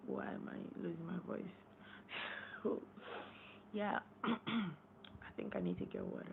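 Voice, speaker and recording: A young woman with a hoarse, gravelly, low-set voice, talking loosely on a late-night live stream. She rambles, repeats words and keeps clearing her throat. The sound is thin and phone-like, with a faint hiss behind her. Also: very animated, slow pace. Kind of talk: casual live-stream talk. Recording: tinny phone-line sound, faint noise.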